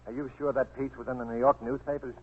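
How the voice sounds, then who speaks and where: A man's voice: medium pitch, level tone, quick pace, husky, lightly acted. A man playing an anxious, pleading American newspaper owner in a 1940s radio drama, with a flustered manner. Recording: noisy, muffled 1940s radio broadcast.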